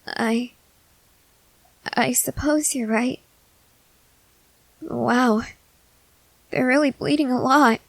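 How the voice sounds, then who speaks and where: A woman playing a timid, gentle newly turned vampire in an ASMR roleplay, speaking hesitantly and haltingly. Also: sits high, steady tone, dry recording, exaggerated voice, rough recording. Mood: uneasy, squeamish. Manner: hesitant, halting, soft-hearted.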